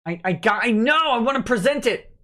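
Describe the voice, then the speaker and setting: Male game streamer, higher-pitched voice. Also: expressive, a little fast, pushed and bright. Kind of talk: casual gameplay commentary.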